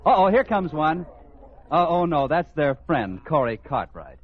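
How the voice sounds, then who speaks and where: A man's voice: on the high side, sing-song, a little slow. A man announcing and narrating a 1940s radio comedy from a script. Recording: muffled old radio recording. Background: music.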